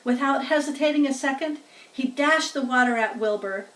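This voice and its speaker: A woman reading a children's story aloud expressively, in a deliberate, teacherly way. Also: high voice, fairly bright tone. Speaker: storybook reader. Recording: roomy echo, distant microphone.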